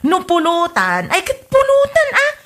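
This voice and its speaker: An exasperated, scolding Ilocano wife played by a woman in a comedy audio drama. Her voice is high and bright, and she nags with a Filipino lilt. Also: wildly swooping pitch, a little slow, full character voice. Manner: nagging, scolding.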